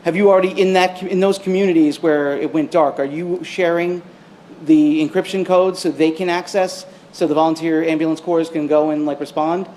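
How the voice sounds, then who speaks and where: Higher-pitched male reporter, informal. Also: fairly even intonation, moderate pace, darkish tone, a little hiss or hum. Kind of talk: informal questioning at a press conference.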